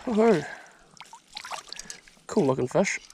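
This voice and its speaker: Excitable New Zealand fishing vlogger. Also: male voice, high voice, slow, breathy edge. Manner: excitable, slangy running commentary while fishing. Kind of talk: casual outdoor vlog commentary. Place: outdoors by a high country pond. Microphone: action camera mounted on the body.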